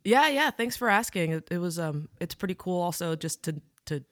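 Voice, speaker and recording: A woman's voice: lowish voice, animated, normal speed, no echo, studio quality. Laid-back giggly woman musician. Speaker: musician guest. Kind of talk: casual interview chat.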